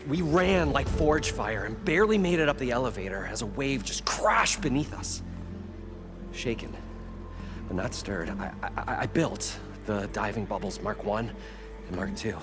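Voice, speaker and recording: A man's voice: mid-range voice, animated, unhurried, close to an everyday voice. An actor playing an eager inventor and showman, with dramatic, flowery storytelling in a video game. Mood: excited, shaken. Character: eager, theatrical male inventor and showman chasing treasure. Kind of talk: video game cutscene acting. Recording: music behind the voice.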